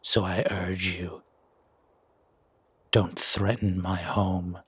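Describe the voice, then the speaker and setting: A deeply raspy, low-voiced male werewolf, acted out by a man, gruff and protective of his home. He speaks slowly in a narrow, level register with dry, wary restraint. It suits an intimate roleplay audio drama. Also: little pitch movement, no echo, heavily acted. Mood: quietly menacing. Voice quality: husky, gravelly rasp. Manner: slow, measured, dry, guarded.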